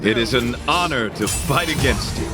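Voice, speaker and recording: A man's voice: medium pitch, expressive, normal speed, lightly acted. A man playing an earnest, courtly knight devoted to beauty in an English game dub, speaking in a formal, florid, theatrical and solemn way. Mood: proud resolve. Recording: music behind.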